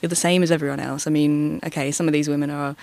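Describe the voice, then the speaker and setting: A woman's voice: low-pitched, level tone, quick pace, creaky, a little mellow, no room sound. Earnest woman from Bristol. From Bristol, England. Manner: earnest, passionate. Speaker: festival participant. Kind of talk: casual interview answer.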